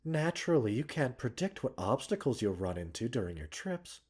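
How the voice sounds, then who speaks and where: A man playing a gentle, caring doctor in a roleplay ASMR, speaking softly and breathily with measured, polite, gently chiding formality. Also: medium pitch, sing-song. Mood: concerned, chiding. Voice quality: soft, breathy. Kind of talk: roleplay ASMR, scripted character acting.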